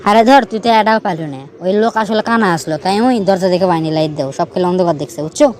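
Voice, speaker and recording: A man's voice: high-pitched, racing, slight background noise, close to an everyday voice. A man with a northern Bangladeshi accent playing a teasing young riddler in an amateur cartoon, explaining things to his friend. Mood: mocking, explaining. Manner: teasing, explanatory, keeps checking the listener follows. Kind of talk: amateur cartoon dialogue, storytelling.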